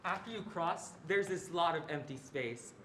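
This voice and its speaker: A man's voice: a little high, animated, moderate pace, a bit bright. A young man, a student narrator reciting scripted readers theatre lines. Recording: echoey room, faint background noise.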